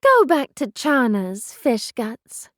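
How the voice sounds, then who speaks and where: A woman's voice: high-pitched, very animated, slow, no room sound, studio quality, pitched above the performer's own voice, full character voice. A woman voicing a haughty, cruel young noble girl who shrieks and mocks. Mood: contemptuous. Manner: shrieking, mocking, contemptuous.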